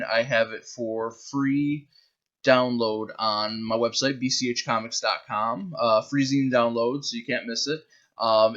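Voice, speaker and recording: A man's voice: medium pitch, fairly bright tone, highs cut off. A man narrating his own short story in a dramatic storybook style. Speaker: author reading his own zine.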